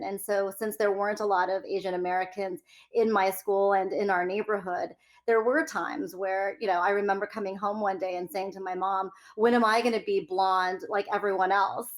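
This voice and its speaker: A woman from California leads a one-on-one conversation. Her voice is medium-pitched, and her delivery is polished and articulate. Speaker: host. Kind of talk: conversational interview.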